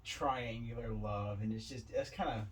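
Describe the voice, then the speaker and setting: A man's voice: medium pitch, fairly even intonation, moderate pace, recorded from a distance. A man co-hosting a podcast, giving blunt opinions on films in casual talk, repeating himself for emphasis. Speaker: podcast co-host. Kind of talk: casual film discussion.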